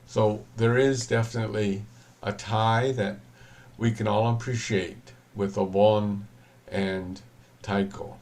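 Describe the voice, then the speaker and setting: A man, a reverend, giving a reflective, measured talk at an online panel, pausing often. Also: on the low side, steady tone, darkish tone. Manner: measured, reflective, with pauses and fillers.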